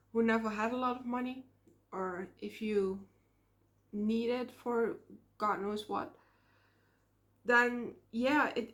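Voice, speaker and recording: A young woman with a Dutch accent, talking to camera in a casual vlog, earnest and reflective, often pausing on fillers. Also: fairly high pitch. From Netherlands. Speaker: small YouTube creator.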